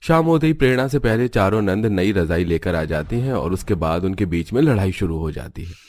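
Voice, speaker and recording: Male storyteller for animated family tale, lowish voice, scripted narration. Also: fairly quick, dry recording.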